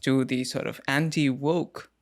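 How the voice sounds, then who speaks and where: A man from India hosting a podcast interview, talking in an animated way with frequent fillers. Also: mid-range voice, a little slow. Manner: animated when arguing, many fillers. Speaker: podcast host. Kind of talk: conversational interview.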